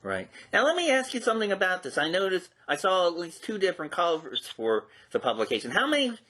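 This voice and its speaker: Bright-voiced male interviewer, measured and polite. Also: high-pitched, big pitch swings. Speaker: host, interviewer. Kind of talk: conversational interview hosting.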